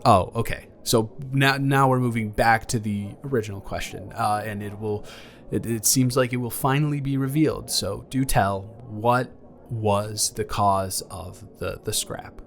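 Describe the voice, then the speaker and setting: A man's voice: lowish voice, measured pace. A man playing an awkward, affectionate young ex-boyfriend in an improvised intimate audio roleplay, talking in a hesitant, playful, earnest ramble. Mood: teasing, curious.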